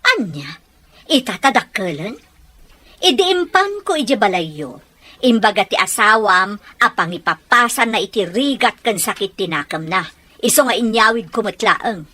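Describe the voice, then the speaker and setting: A fierce foster mother in a radio drama, scolding with a bright, forceful edge. Her pitch swings widely as she accuses and argues. She sounds confrontational and protective. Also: very animated, moderate pace. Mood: accusing. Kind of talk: Ilocano radio drama acting.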